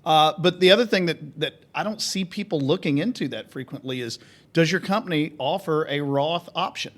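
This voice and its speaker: A man's voice: on the high side, a little fast, fairly bright tone. A man co-hosting a finance radio show, explaining plainly and walking through examples. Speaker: financial advisor, co-host. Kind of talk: casual radio chat.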